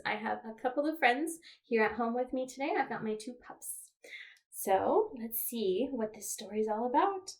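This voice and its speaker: A woman's voice: a little high, darkish tone. A woman leading a toddler storytime as a children's librarian, speaking simply to small children and reading aloud with questions and playful touches. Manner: simple child-directed delivery with playful animal sounds.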